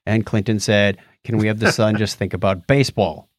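A man's voice: lowish voice, steady tone, brisk, dry recording, studio quality. A man hosting a podcast in a casual, conversational way, telling stories with animation. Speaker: podcast host.

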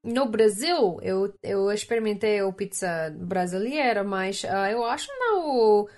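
A young Canadian woman, a native English speaker, chatting as a podcast guest in halting Portuguese, often stopping to search for words. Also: mid-range voice, animated, a little mellow. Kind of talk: casual chat.

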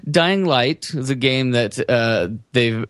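A man's voice: medium pitch, takes it slowly, a bit bright, a little hiss or hum. A young man hosting a casual podcast, talking in an upbeat, informal way. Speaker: podcast host. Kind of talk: casual podcast chat and news rundown.

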